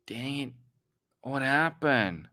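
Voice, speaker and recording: Chatty Norwegian man with a bright voice. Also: medium pitch, animated, slow pace, no room sound, studio quality. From Norway. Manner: chatty, rambling, self-deprecating, talks to chat. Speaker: forex trading streamer. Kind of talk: live-stream trading commentary.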